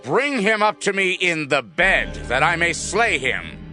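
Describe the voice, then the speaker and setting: A man's voice: on the high side, sing-song, measured pace, soft background music, full character voice. An actor playing a wrathful, suspicious king in a dramatized scripture reading, commanding in old-fashioned English. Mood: angry, demanding. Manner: forceful, archaic, commanding delivery.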